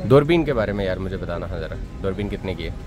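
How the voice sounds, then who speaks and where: A man's voice: sits low, expressive, fast. A man with a Pakistani Urdu accent chatting with shopkeepers in a busy outdoor market, eagerly repeating prices and exclaiming. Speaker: market vlogger interviewing shopkeepers. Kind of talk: casual street vlog chat. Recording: loud street and traffic noise.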